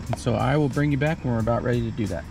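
A man in his thirties giving a relaxed, chatty step-by-step demonstration outdoors at a campsite under a canopy tent. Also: mid-range voice, very fast, vocal fry. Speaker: vlogger. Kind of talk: casual how-to demo. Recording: background music under voice. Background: music.

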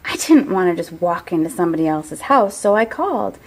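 A woman's voice: fairly low pitch, expressive, fairly quick, husky, rough recording. A woman voicing a self-justifying, folksy wolf in a children's storybook, telling his side in a mock-innocent, theatrical way. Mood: mock-innocent, chatty. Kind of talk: children's storybook read-aloud.

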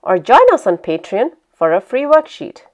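A woman narrating a scripted language lesson. Her delivery is clear, steady and instructional, with a middle-pitched voice. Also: normal speed, muffled recording.

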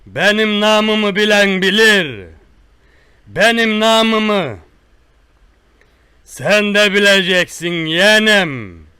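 Prank-call menace: man playing a boastful country tough guy, high bright voice, unhurried threats. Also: animated, smooth, no echo, clean studio sound, heavily acted. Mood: menacing. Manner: slow drawn-out threats, repetitive. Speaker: prank caller. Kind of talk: one-sided prank call.

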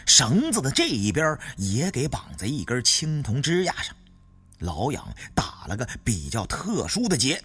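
A man's voice: mid-range voice, a bit bright, slightly rough. A man reading a novel aloud as a dramatised audiobook narrator. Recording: music behind the reading.

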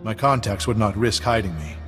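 A man's voice: on the low side, brisk, in a large hall, music in the background, lightly acted. A man playing a weary, earnest fugitive father.

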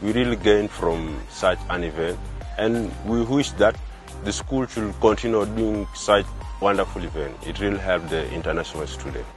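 A man's voice: low voice, normal speed, soft background music. A young man from South Sudan, a fourth-year student, speaking upbeat and straightforwardly outdoors on campus. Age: young adult. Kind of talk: interview answers.

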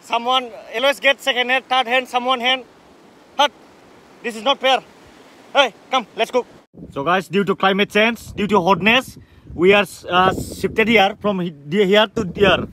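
Male vlog host, young, Northeast Indian accent, sharp bright delivery, teasing banter, recorded outdoors with faint noise. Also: sits high, steady tone, measured pace, rough recording. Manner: playful, teasing, repetitive. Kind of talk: casual joking chat. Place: open grassy campsite outdoors.

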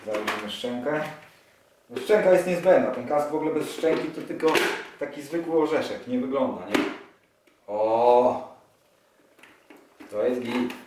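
A man in his forties chats excitedly to camera while trying on motorcycle gear. He sits at a table in a small room, and the distant camera mic makes him sound roomy and echoey. Clicks and handling noise carry clearly behind him. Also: medium pitch, moderate pace, pauses often. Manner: chatty, enthusiastic, thinking aloud while handling gear. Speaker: motorcycle vlogger. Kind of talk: casual unboxing vlog.

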